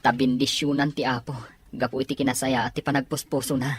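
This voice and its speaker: A man playing a worried, respectful young son in an audio drama, with a husky voice. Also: medium pitch, level tone, fast, close to an everyday voice. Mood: worried, grateful.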